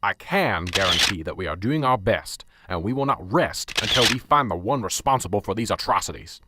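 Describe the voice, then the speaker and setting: A man playing a gruff weary sergeant. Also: on the low side, lively intonation, fairly quick, very noisy, lightly acted. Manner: curt, exasperated. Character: gruff weary male small-town police sergeant. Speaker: police sergeant. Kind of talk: amateur radio drama acting.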